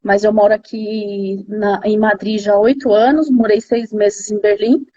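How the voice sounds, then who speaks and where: Woman, São Paulo Brazilian accent, live-chat host, conversational, relaxed warm tone, dull lo-fi sound. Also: medium pitch, measured pace. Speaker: host of a live interview series. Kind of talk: casual live chat, interviewing a friend. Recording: slightly dull lo-fi live-stream audio.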